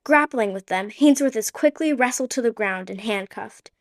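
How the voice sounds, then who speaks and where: A woman's voice: on the high side, normal speed. A woman narrating a radio play in a vivid, dramatic scripted style.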